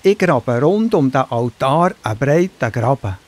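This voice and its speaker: A man's voice: mid-range voice, expressive, unhurried. A man playing a confident, teasing, commanding prophet in a children's Bible audio drama. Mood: calm confidence. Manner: mocking, commanding, self-assured.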